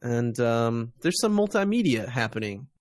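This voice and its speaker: A man's voice: medium pitch, sing-song, moderate pace, no echo, clean studio sound. An American man hosting a podcast, chatting casually about games and media. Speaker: podcast host.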